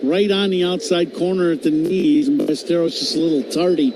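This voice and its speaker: Male baseball analyst, fairly high voice, noisy background. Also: a little fast. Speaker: baseball color commentator. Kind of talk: conversational sports analysis. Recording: clear ambient ballpark noise behind.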